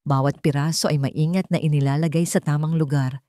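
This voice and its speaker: A woman's voice: low voice, fairly even intonation, a little fast, warm tone, no echo, clean studio sound. A woman reading scripted narration of a sentimental love story.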